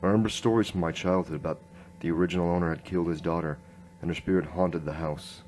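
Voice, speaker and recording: A man acts out a weary, wry detective narrating a noir radio play. His voice is very low and deadpan, over faint background noise. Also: fast, lightly acted. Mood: brooding. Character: world-weary, wry, deadpan male noir police inspector.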